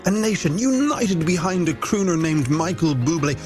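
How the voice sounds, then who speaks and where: A man's voice: fairly high pitch, lively intonation, moderate pace. An Irish man presenting a scripted music radio show with playful, wordy, alliterative patter. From Ireland. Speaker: radio host.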